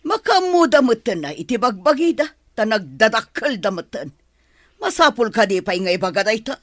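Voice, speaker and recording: A woman with an Ilocano accent playing a sharp-tongued older mother-in-law in a radio drama. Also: on the high side, big pitch swings, normal speed, dry recording, clean studio sound. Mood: scolding.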